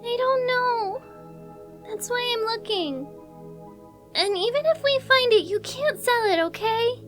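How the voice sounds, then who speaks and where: Super squeaky sweet anime girl, cutesy n childish, music playing behind. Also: lively intonation, a little slow, fairly bright tone, full character voice. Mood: pouty, protesting. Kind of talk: visual novel fan dub acting.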